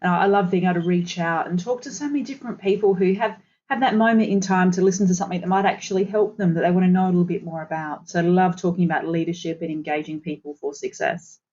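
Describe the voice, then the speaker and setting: A woman's voice: on the low side, steady tone, fast, few pauses, a little mellow, lo-fi sound. An Australian woman, a consultant guest on a podcast, telling her career story fluently and with enthusiasm. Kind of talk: podcast interview.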